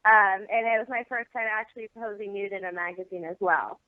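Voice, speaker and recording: A woman's voice: mid-range voice, fairly even intonation, fairly quick, no echo. A young American woman giving candid, informal answers as an interview guest. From Baltimore area, eastern United States. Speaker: interview guest, adult film performer. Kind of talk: casual interview chat. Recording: tinny narrowband phone line, dry.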